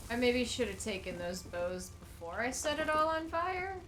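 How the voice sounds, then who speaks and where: A woman's voice: a little high, big pitch swings, normal speed, music in the background. A young woman streaming a game, congested and stuffy-nosed, chatting casually and laughing and swearing freely. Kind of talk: casual gameplay chat.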